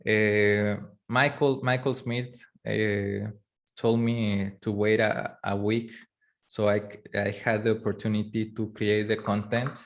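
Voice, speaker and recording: A man whose first language is Spanish, teaching a live online course, talking in a halting way with many fillers and restarts. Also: lowish voice, flat delivery. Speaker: course instructor. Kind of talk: live online class lecture.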